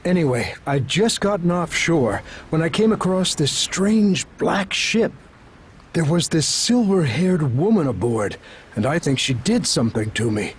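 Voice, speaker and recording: Earnest, higher-voiced worried doctor. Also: male voice, expressive, measured pace, slight background noise. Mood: troubled, earnest. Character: earnest town doctor and worried father, middle-aged man. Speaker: voice actor. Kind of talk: video game cutscene acting.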